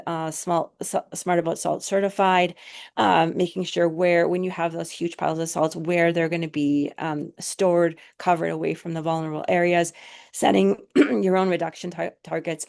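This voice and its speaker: A woman presenting slides on a video call, hoarse from a cold, hesitant and full of fillers and restarts. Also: fairly low pitch, darkish tone. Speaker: webinar presenter.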